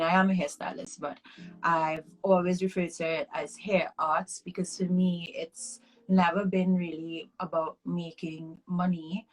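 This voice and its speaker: Interview guest: Trinidadian woman, twenties, slightly low voice, relaxed chatty talk. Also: poor audio. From Trinidad and Tobago. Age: 20s. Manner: chatty, reflective, frequent ums and laughs. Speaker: interview guest, hair artist.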